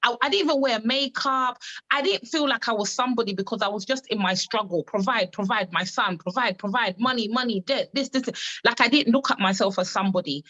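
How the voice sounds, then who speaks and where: A woman from Uganda, giving an emphatic, repetitive motivational pitch on a live call. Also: brisk, bright, forceful tone, lo-fi sound. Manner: emphatic, repetitive, testimony-driven. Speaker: wellness business coach on a live call.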